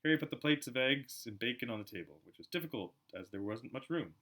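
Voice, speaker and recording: A man with a very bright, forward voice reads a story aloud. His pitch moves widely and animatedly at a middle register, in the informal way of a home audiobook reader. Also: animated, quick pace. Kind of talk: animated storybook narration with chatty asides.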